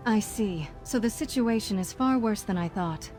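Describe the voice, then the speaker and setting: An actress playing an earnest, resolute mage leader in a video game, measured and authoritative. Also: female voice, mid-range voice, expressive, darkish tone, lightly acted. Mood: somber. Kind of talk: video game character acting. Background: music.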